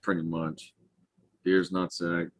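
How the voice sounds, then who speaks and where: Low-pitched, foul-mouthed man in his thirties. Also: little pitch movement, slow pace. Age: 30s. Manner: profane, blunt slangy talk. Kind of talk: casual chat, bantering.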